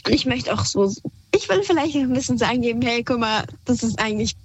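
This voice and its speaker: A young woman calls in to a radio show and chats casually. Her voice sits a little high. She talks hesitantly with many fillers and laughs easily. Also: expressive, a little fast, breathy edge, no room sound, muffled recording. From Iran. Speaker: caller. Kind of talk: casual phone-in chat.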